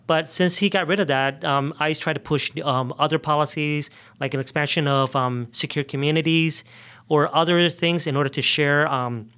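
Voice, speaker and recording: A man from the United States speaking as an interview guest, explaining things earnestly in conversational, somewhat halting phrases. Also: on the high side, steady tone, fairly bright tone, clear, resonant tone. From United States, grew up in New York and the South. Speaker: immigration justice advocate, interview guest.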